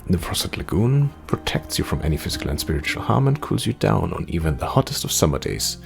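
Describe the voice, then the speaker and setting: A man's voice: low voice, sing-song, a little fast, gravelly, over music. A man playing a game, reading its text aloud expressively and adding casual commentary. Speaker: gamer playing through a demo.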